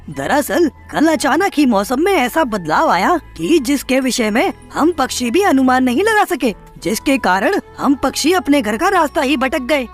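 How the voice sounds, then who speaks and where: A protective, brotherly bird from a children's cartoon, played by a woman. He sounds earnest and reassuring, high and animated in pitch, with soft music behind. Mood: concerned. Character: caring, protective male neighbour bird, parrot or crow.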